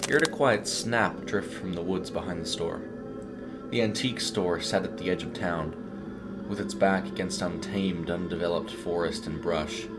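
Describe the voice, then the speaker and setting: A man reading a scripted short story as a dramatic narrator. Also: low-pitched.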